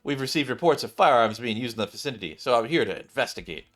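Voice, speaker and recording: A man's voice: medium pitch, animated, fast, a bit bright, pitched below the performer's own voice. Man as an earnest, formal policewoman. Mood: polite official. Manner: formal, polite, official. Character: earnest, dutiful, rule-bound policewoman.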